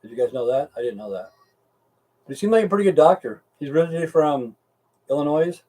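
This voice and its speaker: A man's voice: fairly high pitch, animated, fast, dark tone, slightly rough, far from the mic. A middle-aged or older American man chatting casually and rambling to live stream viewers, with a blunt, folksy, profane way of talking.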